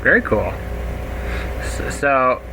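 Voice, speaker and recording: A man hosting a podcast, asking questions in an informal, halting way and repeating his words. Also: medium pitch, sing-song. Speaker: podcast host. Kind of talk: casual interview chat. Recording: clear background noise.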